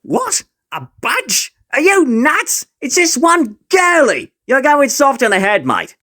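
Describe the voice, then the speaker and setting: A sneering teenage Aussie bully for a comic dub. His voice is bright and brash, lurching all over in pitch as he drawls out exaggerated, blustering taunts. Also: huge pitch swings, slow, heavily acted. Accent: Australian. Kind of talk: comic fandub acting.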